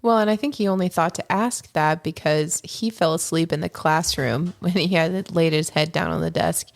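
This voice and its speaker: A woman's voice: low voice, fairly even intonation, normal speed, vocal fry. A woman co-hosting a podcast, chatty and upbeat, laughing easily while she talks. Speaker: podcast co-host. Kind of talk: casual podcast chat.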